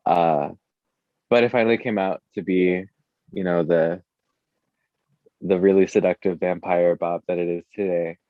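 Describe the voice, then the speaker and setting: A man's voice: low-pitched, fairly even intonation, unhurried, long pauses, no echo, dull audio. A man chatting casually as an interview guest, a musician with an informal, slangy, hesitant way of talking, saying "like" constantly. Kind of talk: casual chat.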